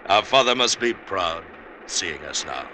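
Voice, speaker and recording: An actor playing a quarrelsome, greedy elder-brother farmer in an old-time radio drama, with theatrical, formal phrasing. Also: male voice, low-pitched, animated, measured pace, sharp tone, slight background noise, exaggerated voice, rough recording. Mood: guardedly agreeable.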